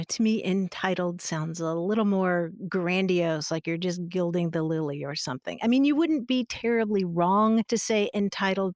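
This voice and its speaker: A middle-aged woman explaining grammar rules in a relaxed, friendly way, laughing easily as she talks. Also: mid-range voice, no room sound, clean studio sound. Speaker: grammar expert guest. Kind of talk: conversational explanation on a podcast.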